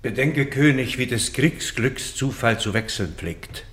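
An actor playing a dignified, defeated Roman general, speaking in noble, measured verse in a radio play. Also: male voice, medium pitch, expressive, a bit bright. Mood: stoic, proud. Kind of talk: classical verse radio drama acting. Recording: echoey, roomy studio sound.

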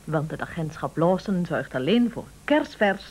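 A woman's voice: fairly low pitch, expressive, moderate pace, a little mellow, breathy edge. A woman acting a shrewd, practical wife and partner in a Dutch-language radio play, matter-of-fact and directive. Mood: wry.

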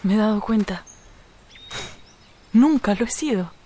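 A woman's voice: medium pitch, expressive, unhurried, warm tone, natural voice. An actress playing a discontented, restless married woman in a radio drama, with a theatrical, sighing delivery. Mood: melancholy yearning. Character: discontented, restless married Frenchwoman, melodramatic and yearning.

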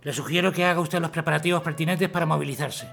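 A man playing a formal, hurried army colonel in a radio drama. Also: a little high, gravelly, a bit bright, soft background music. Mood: urgent. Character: urgent male army colonel.

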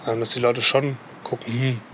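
Guy thinking out loud, keeps fixing his own words, traffic rumbling behind. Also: flat delivery, normal speed. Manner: hesitant, keeps correcting himself. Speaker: participant in a happiness experiment. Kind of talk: reflective personal account.

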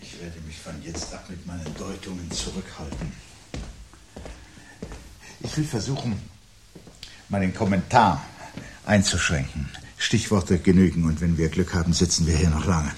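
An actor playing a weary, sardonic husband in a 1970s German radio drama, speaking deliberately and rhetorically. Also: male voice, low-pitched, noisy background, close to an everyday voice. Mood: weary resignation. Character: weary, bitter, sardonic husband awaiting catastrophe.

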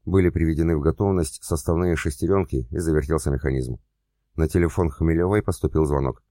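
A man reading a novel aloud as a steady audiobook narrator, voicing the characters with little change. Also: a bass voice, fairly quick, no room sound, clean studio sound. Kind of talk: scripted narration.

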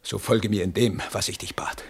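An actor in a 1970s German radio play, playing an intriguing helper and possessive lover in a florid, conspiratorial, declamatory manner with a husky voice. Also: male voice, fairly low pitch, steady tone, normal speed, exaggerated voice. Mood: conspiratorial, ardent.

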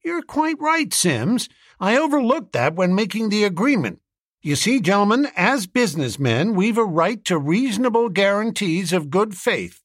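A man's voice: sits high, sing-song, normal speed, fairly bright tone, no echo, studio quality, pitched above the performer's own voice, full character voice. A man voicing a businesslike American small-town booster, formal, wordy and emphatic. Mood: firm, businesslike.

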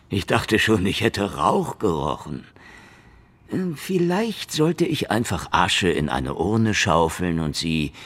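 An actor playing a cynical, world-weary Soviet secret-police detective in a hardboiled radio drama, sardonic and slangy. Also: male voice, deep voice, big pitch swings, takes it slowly, fairly bright tone, slightly rough, heavily acted. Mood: sardonic, challenging. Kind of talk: noir radio drama acting and narration.

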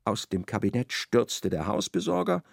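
A man narrating a literary radio play in a measured, precise storytelling style. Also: lowish voice, big pitch swings, creaky, dry recording, studio quality.

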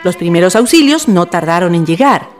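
A woman's voice: fairly low pitch, animated, a little fast, over music. A woman with a Chilean accent giving scripted narration for a radio drama.

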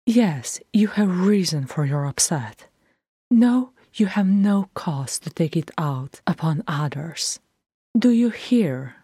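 A loving, firm dwarf mother of a grown son in a fantasy audiobook, acted by a woman. She speaks in a low, measured voice with stately authority and affection. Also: mellow, no echo, clean studio sound. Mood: stern.

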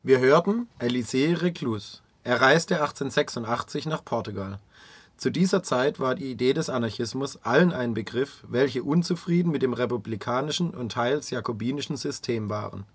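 A man presents a radio feature in scripted documentary narration. His pitch sits in the middle for a man, and the recording is dry with no room around it. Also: expressive, normal speed, breathy edge, dry recording.

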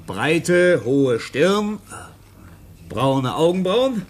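An actor playing a brusque, impatient, suspicious police official in a 1950s German radio drama. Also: male voice, on the high side, big pitch swings, drawn-out words, exaggerated voice. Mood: officious, dictating. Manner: hesitant filler-laden dictation, barking orders. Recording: noisy 1950s radio play recording.

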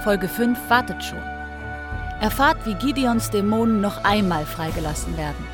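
A woman with a medium-pitched voice delivers a scripted podcast outro. Music plays clearly behind her announcement. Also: normal speed.

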